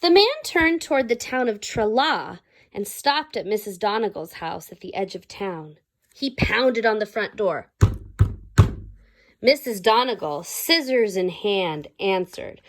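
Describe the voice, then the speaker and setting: A woman reading a children's story aloud, pausing to explain things simply to her listeners. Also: medium pitch, animated. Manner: pauses to explain words and ask listeners questions.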